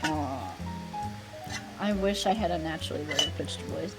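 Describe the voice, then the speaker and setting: A young woman chatting candidly on a live stream, often trailing off softly at the ends of her sentences. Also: sits low, unhurried, over loud music, rough recording. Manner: candid, soft-spoken, trailing off quietly. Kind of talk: casual chat on a live stream.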